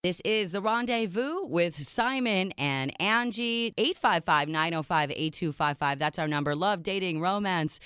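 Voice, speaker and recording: A woman from Minnesota whose first language is Serbian, co-hosting a radio show in quick, upbeat casual talk. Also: fairly low pitch, a bit bright, clear, resonant tone, dry recording, studio quality.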